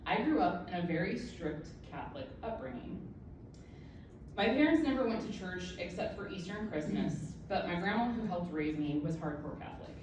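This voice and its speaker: A woman in her thirties speaks to a room full of people with a slightly low voice and a candid, self-mocking sense of humor. She sounds far from the mic, and her voice bounces around a very echoey room. Steady background noise sits under her words. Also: moderate pace. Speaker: author giving a speech. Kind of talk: personal speech to an audience. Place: event room with an audience.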